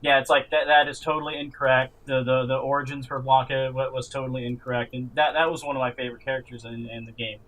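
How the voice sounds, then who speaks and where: A man co-hosting a casual podcast, halting and sweary, often stammering and repeating his words. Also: medium pitch, flat delivery, fairly bright tone, poor audio. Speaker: podcast co-host. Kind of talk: casual group chat about films and games.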